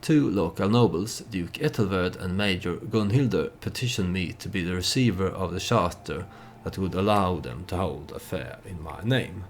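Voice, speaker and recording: Deep, gravelly-voiced man narrating a game. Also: slight background noise. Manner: hesitant, halting, thinking aloud. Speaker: let's play host. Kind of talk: storytelling game commentary.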